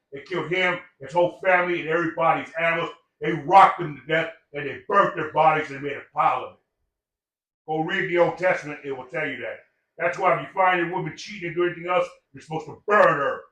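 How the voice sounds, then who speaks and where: A man's voice: fairly high pitch, sharp tone, far from the mic. Profane, preachy older man in an echoey room. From Samoan heritage. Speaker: podcast guest. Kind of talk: casual chat.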